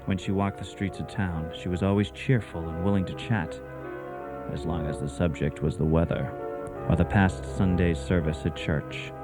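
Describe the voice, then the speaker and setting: An actor narrating a period radio drama as a solemn, wistful storyteller. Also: male voice, sits low, fairly even intonation, normal speed, loud music behind the voice, natural voice. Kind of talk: scripted narration.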